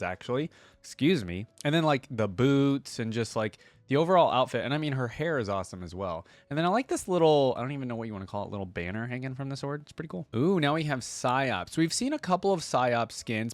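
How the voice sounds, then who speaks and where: A man's voice: medium pitch, no room sound. A man giving casual reaction commentary as host, talking in an enthusiastic, informal, slangy way.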